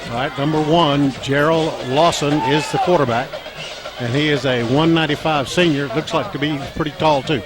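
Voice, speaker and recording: A man with a scratchy, strained voice calling a high school football game play by play, halting with fillers and lifting when a run breaks loose. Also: steady tone. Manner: halting, frequent fillers, rises when plays break open. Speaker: play-by-play announcer. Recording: crowd and music under the voice. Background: crowd and band music.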